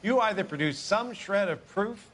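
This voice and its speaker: A man's voice: high voice, animated, moderate pace, a bit bright. A man acting an indignant, evasive businessman accused of wrongdoing in a video game drama. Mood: indignant, defiant.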